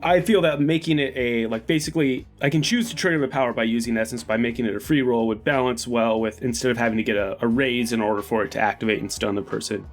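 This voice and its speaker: A man chats through his moves as a player at a tabletop game. His voice sits at a middle pitch, with music running behind it. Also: fairly even intonation, fast talker, few pauses. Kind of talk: casual game-table talk. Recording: music under the voice. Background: background music.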